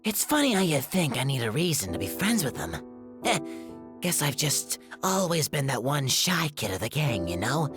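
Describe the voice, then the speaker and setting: Very low-pitched, shy bartender guy played by a woman. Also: very animated, moderate pace, over music. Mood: fond. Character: wary, soft-hearted young male bartender, once shy. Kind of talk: animated web series voice acting.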